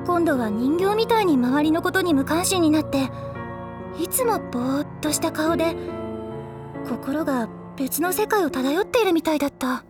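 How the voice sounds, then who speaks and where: A gentle teenage schoolgirl tells an old friend's story in a soft, earnest way. Her voice sits very high, and music plays clearly behind her in this anime drama CD. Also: animated, normal speed. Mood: worried.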